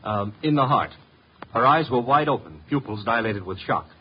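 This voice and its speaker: Wisecracking male detective's assistant, medium pitch, wry, breezy, 1950s radio drama, dull recording. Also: lively intonation, moderate pace, husky. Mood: brisk. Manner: wry, flippant, casual.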